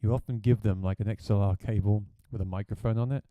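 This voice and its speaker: A man with a deep voice, a gigging musician, chatting plainly through a gear review. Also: fairly even intonation, quick pace, dry recording, phone-quality sound.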